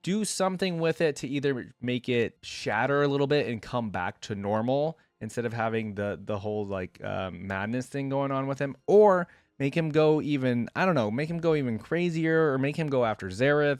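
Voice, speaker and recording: A man's voice: medium pitch, fairly quick, hardly any pauses, smooth, no echo. Dry-sounding man, rambling casual commentary. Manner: rambling, conversational. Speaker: reaction video host.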